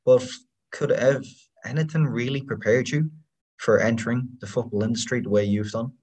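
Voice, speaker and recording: A man hosting a conversational sports interview, putting questions in wordy, measured phrases. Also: mid-range voice, steady tone, darkish tone. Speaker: podcast host, interviewer. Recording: distant from the microphone.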